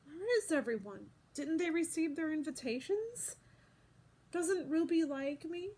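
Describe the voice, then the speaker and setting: A naive, easily wounded male pig from a storybook, played by a woman reading aloud. The voice is very high and plaintive, with a bewildered, questioning manner. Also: animated, unhurried, a little mellow. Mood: hurt, puzzled. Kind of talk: children's storybook read-aloud.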